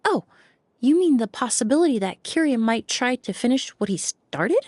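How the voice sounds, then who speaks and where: A woman's voice: a little high, big pitch swings, normal speed, darkish tone, no room sound, clean studio sound. An actress playing a composed, protective, cautious young woman in a video game, earnest and poised. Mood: alarmed, concerned. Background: faint music.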